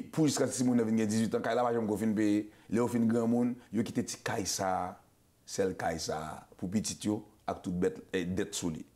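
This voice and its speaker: A man with a Haitian accent hosts a loose, casual talk show. He talks at a rapid clip, stumbling into restarts and repeated words. He slips Creole and English phrases into his speech. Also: on the low side, darkish tone. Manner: fast, repetitive, stammering restarts, mixes Creole and English. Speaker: show host.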